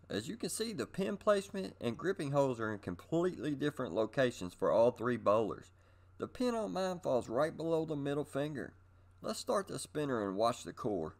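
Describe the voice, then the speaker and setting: A middle-aged man giving scripted instructional narration in an upbeat presenter manner, speaking straight to his viewers. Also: high voice. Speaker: host of a bowling instruction video.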